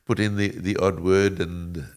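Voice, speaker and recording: A man's voice: low-pitched, level tone, unhurried, darkish tone. A man in his seventies, born in Egypt and raised in South Africa, Italian as first language, speaking as an interview guest who chuckles often and rambles with restarts. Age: 70s. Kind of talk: interview conversation.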